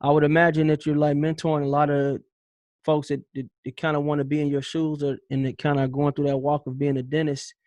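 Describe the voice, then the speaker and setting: A man's voice: fairly high pitch, little pitch movement, fairly quick, darkish tone, no room sound. A man hosting a podcast, asking questions in a loose, playful way with repeated words. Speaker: podcast host. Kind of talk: casual interview chat.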